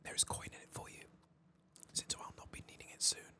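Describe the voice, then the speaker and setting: A man doing soft-spoken, whispered ASMR game commentary in a hushed, breathy, gravelly voice, murmuring without hurry. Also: brisk. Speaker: ASMR game playthrough host.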